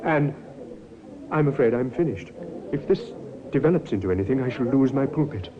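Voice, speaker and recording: An actor playing a frightened, meek young parson in a 1950s radio drama, anxious and stammering. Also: male voice, mid-range voice, animated, moderate pace, crowd noise, natural voice. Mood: panicked. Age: young adult. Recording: muffled 1950s radio recording.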